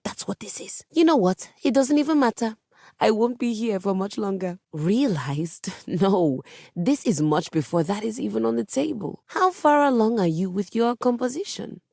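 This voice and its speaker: A woman's voice: mid-range voice, lively intonation, moderate pace, vocal fry, dark tone, dry recording, clean studio sound. A woman voicing a vain, refined piano diva in a video game, speaking in a grandiose, pompous way with precise wording. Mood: indignant, dramatic. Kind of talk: theatrical video game character acting.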